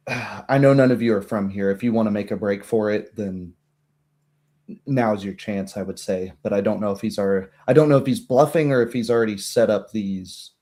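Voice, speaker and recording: Man playing an anxious, formal baroness, low-voiced. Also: a little mellow, natural voice. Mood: tense, resolute. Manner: halting, urgent, formal. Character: anxious, proper noblewoman baroness, worried for her town.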